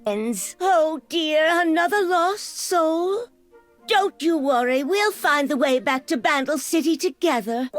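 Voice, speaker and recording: A woman's voice: sits high, expressive, slow pace, faint music behind. A woman voicing a kindly, motherly little female yordle for a video game, affectionate, cooing and whimsical. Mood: gently consoling. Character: kindly, motherly, cheerful female yordle, a small magical creature. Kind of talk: video game champion voice lines.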